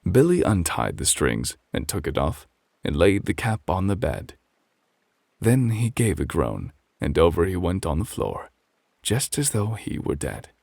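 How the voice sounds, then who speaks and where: A man playing a calm, refined gentleman who reads a bedtime story as gentle, measured ASMR close to the microphone. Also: low-pitched, vocal fry, no room sound, heavily acted. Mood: soothing.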